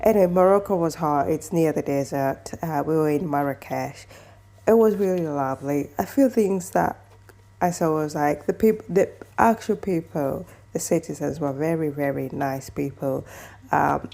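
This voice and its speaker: Low, mellow-voiced chatty woman from Kenya. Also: moderate pace, creaky. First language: mother tongue other than English, also Swahili. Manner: chatty, rambling with restarts and asides. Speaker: vlogger. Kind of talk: casual storytelling to camera.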